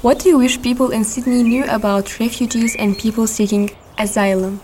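A woman's voice: fairly high pitch, steady tone, fairly quick, a little mellow, a little hiss or hum. An eighteen-year-old woman leading a conversation, speaking in a friendly, careful way. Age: 10s. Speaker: interviewer.

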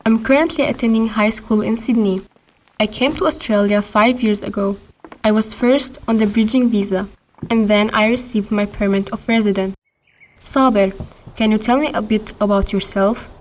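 A woman's voice: medium pitch, steady tone, normal speed, a little hiss or hum. An eighteen-year-old woman leading a conversation, speaking in a friendly, careful way. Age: 10s. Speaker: interviewer.

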